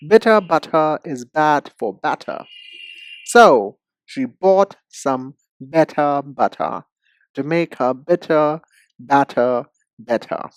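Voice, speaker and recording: Male language tutor for lesson narration. Slow, deliberate delivery, expressive mid-range pitch, addresses the learner directly. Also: clean tone, no echo, rough recording. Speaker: English pronunciation teacher. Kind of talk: instructional lesson.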